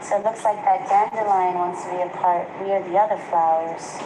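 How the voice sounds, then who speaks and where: A woman's voice: on the low side, fairly even intonation. Woman reading aloud to children, faint noise. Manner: pauses to ask the children questions. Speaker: preschool teacher. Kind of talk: read-aloud of a picture book to young children.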